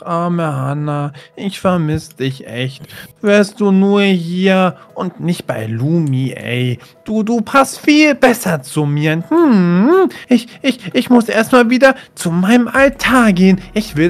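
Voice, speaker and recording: A woman voicing a secretive, lovesick little boy in a game role-play, with a drawn-out, sulky way of talking. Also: medium pitch, lively intonation, faint music behind, natural voice. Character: lovesick, secretive little brother with forbidden magic.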